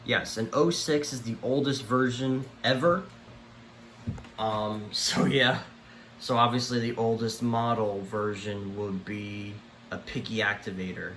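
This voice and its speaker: A man giving a casual, unscripted show-and-tell, speaking haltingly and trailing off at the ends of his thoughts. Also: on the low side, steady tone, fairly bright tone, reverberant, poor audio. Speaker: collector presenting a home video tour. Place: room at home among many radios. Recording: clear background noise and room ambience. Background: weather radio broadcast playing.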